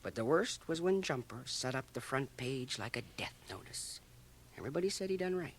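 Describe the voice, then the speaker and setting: A man's voice: mid-range voice, lively intonation, fairly quick, slight background noise, natural voice. A man playing a folksy, gossipy small-town barber with a Canadian accent in a radio drama, chuckling and dropping into confidential asides. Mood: earnest, mournful. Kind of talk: radio drama acting, rambling monologue. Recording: 1980 radio drama, home cassette transfer.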